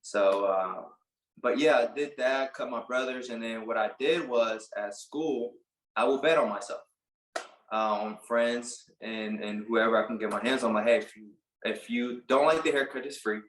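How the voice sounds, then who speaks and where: A man in his late twenties from Puerto Rico, a barber telling his story in a casual interview, relaxed and halting with many fillers. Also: mid-range voice, echoey room, poor audio. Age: late 20s. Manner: relaxed, halting, lots of "like" and restarts. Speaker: barber and business owner, guest.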